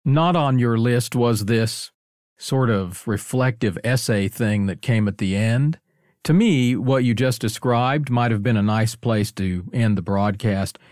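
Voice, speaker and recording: A man's voice: medium pitch, unhurried, darkish tone, no echo, clean studio sound. A man hosting a conversational interview, commenting and asking questions. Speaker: interviewer, host.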